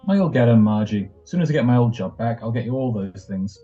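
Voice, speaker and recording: A man's voice: mid-range voice, lively intonation, quick pace, warm tone, lightly acted. A man playing an affectionate, teasing young husband back from military service in a 1940s-style radio drama, wistful and a little hesitant. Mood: tender. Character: tender, playful young returned serviceman husband. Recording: dull muffled audio, faint music.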